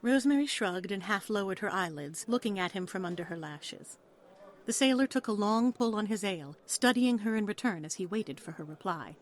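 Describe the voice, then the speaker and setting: Female narrator for a dramatised audiobook, mid-pitched, scripted storytelling delivery. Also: animated, fairly quick. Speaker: narrator, author reading.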